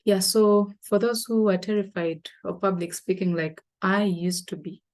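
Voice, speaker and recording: A young adult woman with a Ugandan accent, hosting her own channel and talking casually to camera with many fillers and pauses. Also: medium pitch, fairly even intonation, darkish tone. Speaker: channel host.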